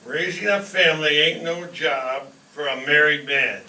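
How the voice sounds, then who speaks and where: An actor playing a blundering, excitable, scheming working-class family man in a 1940s-style radio sitcom. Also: fairly high pitch, unhurried, heavily acted. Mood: grumbling. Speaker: amateur performer in a staged radio show. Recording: echoey room, distant mic, faint noise.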